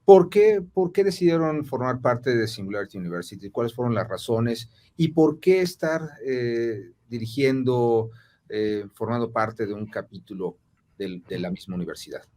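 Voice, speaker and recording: Man, podcast host, casual interviewing, halting with fillers. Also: mid-range voice, lively intonation, mellow. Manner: asks questions with filler pauses.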